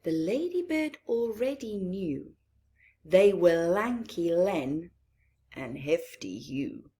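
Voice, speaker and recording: A woman reading a picture book aloud to children, deliberate and expressive, with long pauses and small asides. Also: on the low side. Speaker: children's storytime reader.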